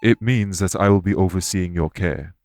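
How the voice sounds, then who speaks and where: Man acting a low, solemn elven former king. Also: darkish tone, slight background noise. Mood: calm, earnest. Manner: formal, measured, stately wording. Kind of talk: scripted audio roleplay acting.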